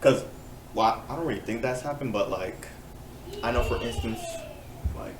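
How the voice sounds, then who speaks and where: A man's voice: medium pitch, normal speed. A young man, a podcast guest, talking hesitantly with many fillers in casual chat. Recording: echoey room with audible noise.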